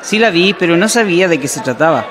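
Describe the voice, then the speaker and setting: An actor plays a cheeky Chilean islander with a fairly high, very swooping voice. He is slangy and teasing in a radio soap, with faint music underneath. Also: animated, fast, close to an everyday voice. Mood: surprised. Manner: colloquial, teasing. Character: cheeky, uninformed, joking island man. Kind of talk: radio soap opera acting.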